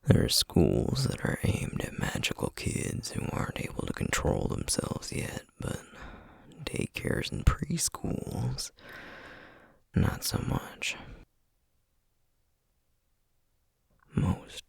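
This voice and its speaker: A man playing a gentle, burnt-out sorcerer dad with a Southern American accent in a whispered ASMR roleplay, halting and full of self-doubt. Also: medium pitch, wildly swooping pitch, dry recording, studio quality, exaggerated voice. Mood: weary, explaining. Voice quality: hushed, breathy whisper. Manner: halting, confiding, with sighs and trailing pauses.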